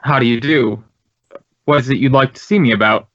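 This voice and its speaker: An American actor playing an earnest, courteous and talkative director of a drama school in an old radio drama. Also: male voice, medium pitch, a little fast, fairly bright tone, no echo, close to an everyday voice. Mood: puzzled, chatty. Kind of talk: 1950s radio acting. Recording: dull, dry old radio recording.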